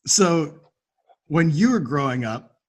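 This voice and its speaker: Male American interviewer, slightly high voice, conversational podcast host delivery. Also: lively intonation, takes it slowly. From Philadelphia, United States.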